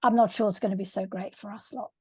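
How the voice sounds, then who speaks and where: A woman's voice: mid-range voice, steady tone, fast talker, breathy edge, no echo, studio quality. An older woman coach sharing a comment in an online discussion, hesitant and searching for words. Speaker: coach and supervisor. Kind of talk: video call discussion. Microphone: video call.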